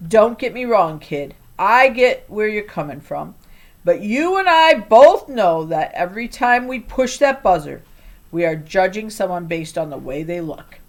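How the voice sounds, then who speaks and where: A woman reading an audiobook as a gruff, argumentative old male motel manager with a raspy voice. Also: mid-range voice, lively intonation, unhurried. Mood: grumpy, argumentative.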